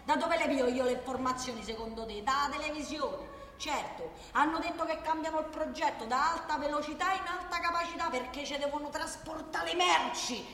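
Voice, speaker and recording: A woman's voice: sits high, a little fast, few pauses, fairly bright tone. An actress playing a feisty working-class woman with a Roman accent, badgering and exasperated, repeating herself in a theatrical radio monologue. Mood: indignant. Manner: exasperated, repetitive, colloquial, badgering. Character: feisty working-class woman arguing for high-speed rail. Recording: distant, echoey hall with noise.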